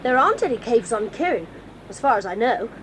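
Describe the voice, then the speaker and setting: A brisk tomboy girl. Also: on the high side, big pitch swings. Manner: brisk, matter-of-fact. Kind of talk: 1980s audio drama acting. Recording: faint hiss of old cassette.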